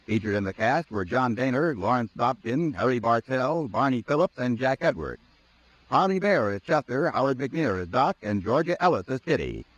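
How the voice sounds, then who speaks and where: A male radio announcer reading the closing credits of a 1950s drama in a smooth, lilting announcer's manner. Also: medium pitch, animated, normal speed, no echo. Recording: dry, dull old radio broadcast.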